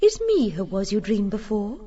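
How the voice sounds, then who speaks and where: A woman's voice: medium pitch, sing-song, normal speed, dark tone, breathy edge, lo-fi sound. A woman playing a domineering, seductive talking fan in an audiobook drama, with clipped, commanding phrases. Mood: knowing, taunting.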